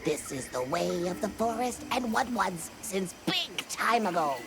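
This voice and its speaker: Whimsical little woodland creature, slow delivery, very animated swoops, quaint broken grammar, eager, game cutscene. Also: female voice, mid-range voice, creaky, noisy background. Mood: pleased, whimsical. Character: small, eager, whimsical forest creature folk, honey-obsessed. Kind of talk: video game cutscene acting.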